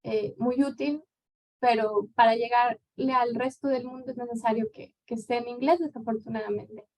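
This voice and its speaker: A woman in her thirties from Mexico with a Mexican accent, answering questions as a guest lecturer in a relaxed, conversational way. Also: fairly high pitch, normal speed, rough recording. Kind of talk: conference Q&A answer.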